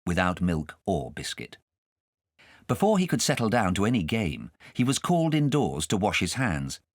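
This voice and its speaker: Animated male audiobook narrator, very varied pitch. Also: dry recording, clean studio sound. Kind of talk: scripted storytelling narration.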